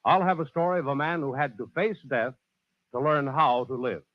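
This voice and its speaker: An actor as a worldly man introducing adventure tales in 1940s radio style. He speaks in a folksy, measured way at a medium pitch. The old broadcast sounds dull and close. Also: dry recording, natural voice. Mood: dramatic, genial. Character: genial, worldly adventurer telling his stories. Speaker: radio show host and narrator.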